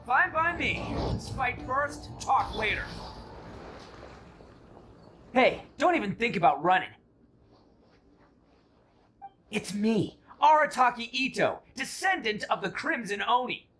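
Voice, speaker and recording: A man's voice: extremely high pitch, big pitch swings, measured pace, fairly bright tone, heavily acted. An actor playing a cocky, hot-headed young male oni brawler in a video game, with emphatic, slangy, theatrical delivery. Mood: fired-up, bold.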